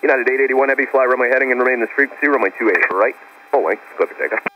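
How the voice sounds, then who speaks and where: A male tower controller fires off clearances at a very rapid pace. His mid-pitched voice is muffled by the radio, with faint noise. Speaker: tower air traffic controller. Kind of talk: radio instructions.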